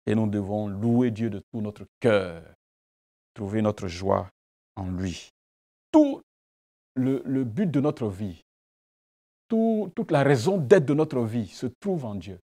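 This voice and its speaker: A man's voice: mid-range voice, warm tone. A man, a pastor whose first language is not French, gives a devotional sermon and prayer with emphatic repetition and rhetorical questions. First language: a language other than French.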